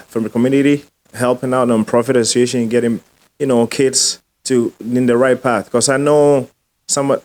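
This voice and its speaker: Enthusiastic man hosting an interview. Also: medium pitch. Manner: warm, enthusiastic, repeats himself. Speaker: podcast host. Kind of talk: casual interview talk.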